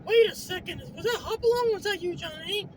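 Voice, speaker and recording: A man voicing an excitable young-sounding male ship's crewmate with a squeaky, exclaiming cartoon delivery. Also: a little fast, crowd noise, noisy background. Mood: excited, surprised.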